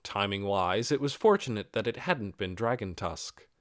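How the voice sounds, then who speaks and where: A man's voice: fairly low pitch, animated, normal speed, fairly bright tone, no room sound, clean studio sound. A man reading scripted fantasy fiction as an audiobook narrator.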